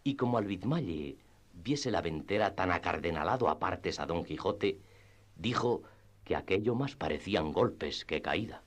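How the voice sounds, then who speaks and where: A man with a deep voice serves as the narrator of a radio play. He tells the literary tale in a formal, measured storytelling style. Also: animated.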